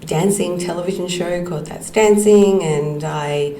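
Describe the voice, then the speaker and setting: A middle-aged woman hosting a podcast, telling her life story slowly and reflectively, with frequent ums and soft laughs. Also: lowish voice. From Australia. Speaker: podcast host. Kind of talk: personal storytelling monologue. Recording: echoey room with faint background noise.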